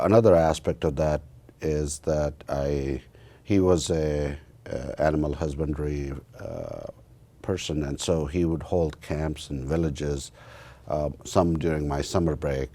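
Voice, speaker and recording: A man in his fifties with an Indian accent, giving reflective interview answers in a halting way with many pauses. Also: rumbling low, dark tone. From northern India. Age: 50s. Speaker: interview guest, university research executive and computer scientist.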